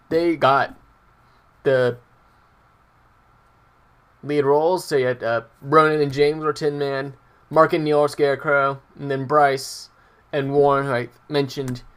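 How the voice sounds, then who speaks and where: A man's voice: medium pitch, a bit bright. A young adult man telling personal stories casually as a vlogger, hesitant and meandering, often breaking off mid-sentence. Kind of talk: casual rambling personal storytelling.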